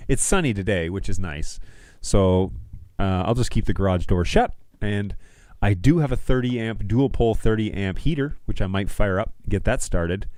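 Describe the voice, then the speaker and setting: A man in his thirties with a fairly low voice talks casually to his livestream viewers. He is easygoing and slangy, speaking into a close studio mic in a small room with very little echo. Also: darkish tone. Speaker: livestream host. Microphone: close studio mic on a stand.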